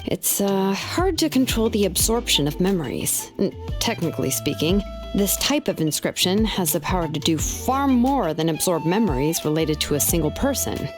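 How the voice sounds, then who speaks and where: A woman's voice: on the low side, big pitch swings, normal speed, over music. A woman playing a laid-back, confident young craftswoman in a video game, speaking in a casual, matter-of-fact way. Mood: serious, casual. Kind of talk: video game character acting.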